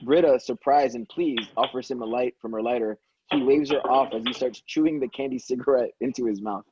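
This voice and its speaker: A man's voice: mid-range voice, fairly quick, a little mellow, muffled recording, slight background noise. A man reading script stage directions aloud in a plain, matter-of-fact way, with occasional stumbles. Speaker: stage directions reader at a table read.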